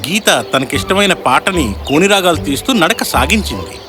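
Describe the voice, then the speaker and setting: A man narrating a children's fairy tale as a dramatic, expressive storyteller. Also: fairly high pitch, fairly quick, music in the background, close to an everyday voice.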